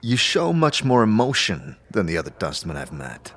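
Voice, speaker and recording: A man's voice: on the low side, wildly swooping pitch, normal speed, fairly bright tone, soft background music, full character voice. A man playing a blunt, wry adventurer in a fantasy audio drama, speaking in an offhand, terse way. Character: male adventurer hero, blunt, wry, casual.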